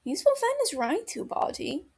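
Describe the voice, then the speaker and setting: A calm young man from a fan-fiction audiobook, voiced by a woman. The delivery is soft, careful and placating, with vocal fry, the sound of a concerned friend gently probing. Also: very high-pitched, moderate pace, voice pushed up, full character voice.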